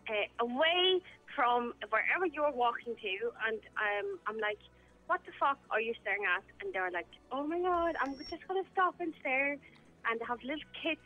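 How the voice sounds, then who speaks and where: A woman from Iran who grew up in Vienna, calling in to a radio phone show and talking frankly with lots of fillers. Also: on the high side. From Iran, raised in Vienna, Austria. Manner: chatty, frank, uses fillers. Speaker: caller. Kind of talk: phone-in call. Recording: tinny phone line.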